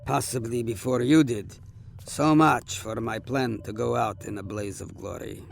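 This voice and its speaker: A man's voice: medium pitch, normal speed, vocal fry. A man playing a wry, seasoned starship captain in an audio drama, with a dry, sardonic manner. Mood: dryly amused.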